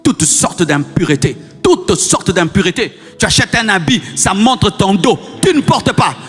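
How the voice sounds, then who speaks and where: The kind of voice heard at a Congolese church service, a male preacher pitched high, urging the congregation over and over, his words ringing long through the hall. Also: lively intonation, unhurried, a bit bright, slightly rough. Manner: exhorting, repetitive, rhetorical questions to the congregation. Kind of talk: fiery sermon. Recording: long hall reverb, music underneath.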